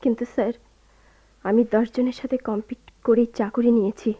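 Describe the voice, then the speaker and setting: High-pitched anguished young wife, played by an actress. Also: female voice, fairly even intonation, brisk, dark tone, close to an everyday voice. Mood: respectful, defensive. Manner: pleading, deferential, tremulous. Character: anguished young wife clinging to her missing husband. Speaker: radio drama actress. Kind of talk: emotional Bengali radio play acting. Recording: dull lo-fi radio drama recording.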